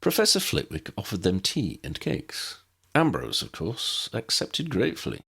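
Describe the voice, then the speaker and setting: A man narrating a story from a script in an expressive, dramatic storytelling manner. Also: lowish voice, moderate pace, creaky, husky. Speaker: audiobook narrator reading fan fiction.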